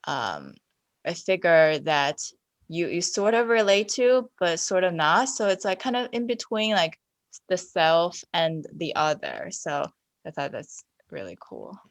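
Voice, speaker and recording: A woman's voice: lowish voice, very animated, unhurried, no echo. A woman on a podcast panel reflecting casually and hesitantly as she searches for words.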